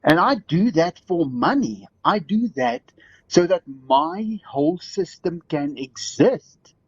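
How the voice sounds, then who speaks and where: Man, South African accent, lively pitch, husky, caller, rambling, dull call audio. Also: slow, no echo. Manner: rambling, with many restarts and laughs. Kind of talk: casual call-in chat.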